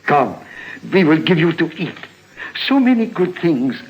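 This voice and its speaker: An actor playing a hospitable, genial island uncle in a 1950s radio drama, speaking in formal, simple phrasing. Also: male voice, fairly high pitch, very animated, a little slow, dull audio. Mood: welcoming. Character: hospitable Hawaiian uncle, genial and eager to feed guests.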